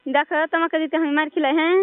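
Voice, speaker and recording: A woman's voice: high-pitched, steady tone, very fast, no echo, soft background music. A woman chatting casually and flirtatiously on a phone call in a rural Bengali dialect. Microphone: telephone. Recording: muffled narrow-band phone call.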